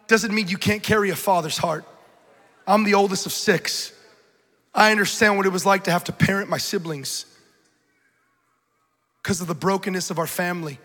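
A man's voice: sits high, fairly even intonation, moderate pace, pauses often, sharp tone. A man in his thirties preaching an urgent, emphatic sermon in a large church hall. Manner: urgent, emphatic, rhetorical repetition. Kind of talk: passionate sermon. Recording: reverberant hall.

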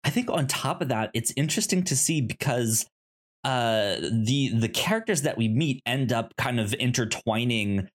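A man speaks at a middling pitch in a casual podcast discussion. He stutters often, repeating sounds and short words, and rambles informally as he works toward his point. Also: expressive, moderate pace. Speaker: podcast co-host. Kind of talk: casual conversational film discussion.

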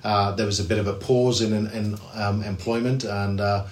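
Low-voiced English man in an echoey room. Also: fairly even intonation, normal speed. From England. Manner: chatty, many fillers and restarts. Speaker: real estate agent. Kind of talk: podcast interview.

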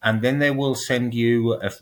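A man being interviewed on a podcast, explaining rules in a measured, lightly ironic way. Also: mid-range voice, fairly even intonation. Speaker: guest, transparency advocate.

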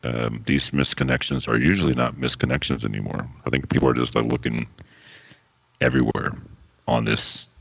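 Deep gravelly man, laid-back co-host. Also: fairly even intonation, moderate pace, fairly bright tone. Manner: laid-back, agreeable, reads chat aloud. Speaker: co-host of a live stream. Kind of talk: casual banter, reading viewer posts.

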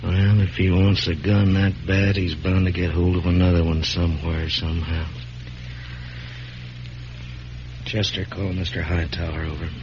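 An actor playing a stern, plain-spoken American frontier marshal, firm and terse, in old radio western style. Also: male voice, sits low, flat delivery, moderate pace, lightly acted. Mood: grave. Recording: hissy, muffled vintage radio recording.

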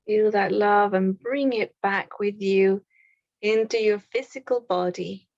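Slow, soothing woman guiding a meditation. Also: mid-range voice, steady tone, vocal fry, dry recording, muffled recording. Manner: slow, soft, with long pauses. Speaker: meditation guide. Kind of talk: guided meditation.

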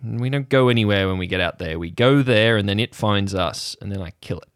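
Guy doing a haunted bulky old uncle, paranoid n muttering, fierce, lowish voice, audio drama. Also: fairly quick, clean tone, no echo, clean studio sound, natural voice. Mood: insistent, fierce.

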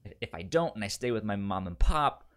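A man's voice: fairly low pitch, sing-song, a little fast. A man co-hosting a podcast in casual chat, often repeating syllables and words as he stammers through a sentence. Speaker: podcast co-host.

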